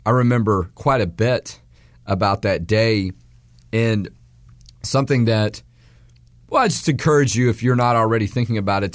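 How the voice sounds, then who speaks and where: A man in his forties from Texas, hosting a radio talk show in a hoarse voice, rambling with restarts and fillers. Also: on the low side, no room sound, studio quality. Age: 40s.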